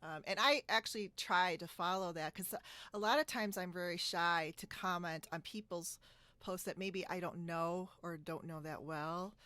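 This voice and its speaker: A woman's voice: on the low side, normal speed, bright, forceful tone, no room sound. A woman hosting a casual podcast interview from a room at home, chatty and giggly, on a video call. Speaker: podcast host.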